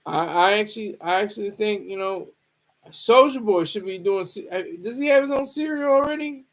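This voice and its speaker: A man with a high-pitched voice talks off the cuff like a streamer commenting on the news. His delivery is casual and halting, wandering along as he repeats words and circles back over phrases. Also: expressive. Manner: rambling, halting, repeats himself.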